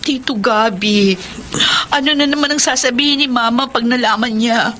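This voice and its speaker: A young wife in a radio drama acted by a woman, speaking in a fairly high voice. She is anxious and worn down, confiding and emotive. There is clear ambient noise around her. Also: moderate pace, a bit bright, natural voice. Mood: troubled, upset. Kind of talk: Tagalog radio drama acting.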